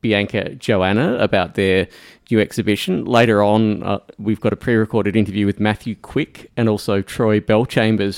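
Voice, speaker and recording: A radio studio host with a resonant, slightly low voice. He talks casually, stopping and restarting often and filling gaps with "uh." He introduces guests and asks interview questions. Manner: halting, many fillers and restarts. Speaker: radio arts show host.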